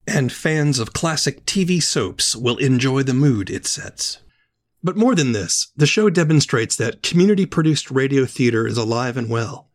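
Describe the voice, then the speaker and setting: A man narrating a scripted podcast segment as the host. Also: medium pitch.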